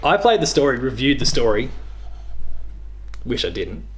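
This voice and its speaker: A man's voice: medium pitch, big pitch swings, normal speed, slight background noise. An Australian man in his thirties hosting a casual chat about video games. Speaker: host. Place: living room, indoors.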